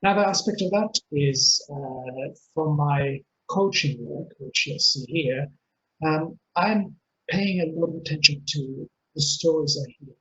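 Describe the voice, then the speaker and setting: A man's voice: fairly high pitch, a little slow, pushed and bright. A middle-aged man from Israel with a mixed Israeli accent, presenting an earnest webinar talk full of hesitations and fillers. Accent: Israeli, mixed with Texan, Scottish and London. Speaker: webinar speaker and coach. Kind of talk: presenting a pre-recorded webinar. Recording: dull lo-fi webinar audio, faint hiss.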